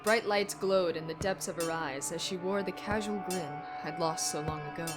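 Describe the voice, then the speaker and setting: A woman's voice: on the low side, normal speed. Woman reading a dramatic story over music. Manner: intense, theatrical delivery. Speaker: amateur fan fiction reader. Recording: music bed behind the voice.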